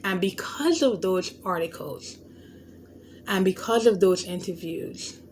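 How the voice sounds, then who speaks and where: A woman's voice: mid-range voice, unhurried, a little hiss or hum, poor audio. A woman with a stutter and a lisp, hosting a podcast and talking through a personal story in an earnest, reflective way, often restarting words. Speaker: podcast host. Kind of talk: unscripted personal monologue.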